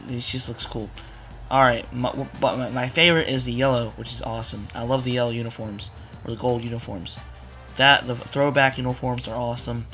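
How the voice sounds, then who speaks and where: A young man with a hoarse, cracking voice, rambling casually through a video game walkthrough with many fillers. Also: medium pitch, sharp tone. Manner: rambling, repeats "you wanna" with fillers. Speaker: gaming tutorial maker. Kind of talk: casual screen-capture walkthrough.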